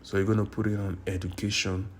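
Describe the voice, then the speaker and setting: A man's voice: on the low side, little pitch movement, fast talker, a little hiss or hum. A man from Nigeria, walking through a screen-recorded tutorial at his computer, repeating himself and correcting his own words as he goes. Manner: repetitive, insistent, self-correcting. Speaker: YouTube tutorial creator. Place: indoors at a computer.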